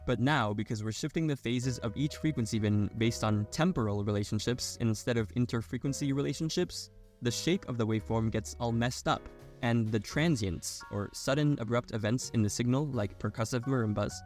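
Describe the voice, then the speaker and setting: A man narrating a scripted technical explainer in a clear, step-by-step manner. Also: medium pitch. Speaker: video tutorial narrator.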